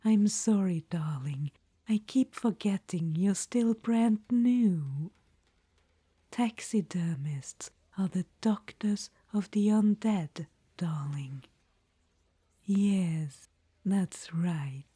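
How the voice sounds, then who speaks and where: A woman's voice: lowish voice, animated, extremely slow, dark tone, husky, dry recording, heavily acted. A woman voicing an imperious, fond undead witch-queen in an ASMR roleplay, whispering throughout and calling the listener darling. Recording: dry close-mic whisper.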